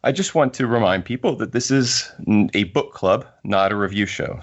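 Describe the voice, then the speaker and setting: Low-voiced man co-hosting a podcast. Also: lo-fi sound. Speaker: podcast co-host. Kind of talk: casual chat.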